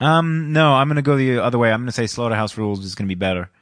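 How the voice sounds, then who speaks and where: A man co-hosting a casual podcast chat, telling stories with lots of laughter and animated, shifting delivery. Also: mid-range voice, rapid-fire, no echo, studio quality. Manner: animated storytelling, laughs often. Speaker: podcast co-host.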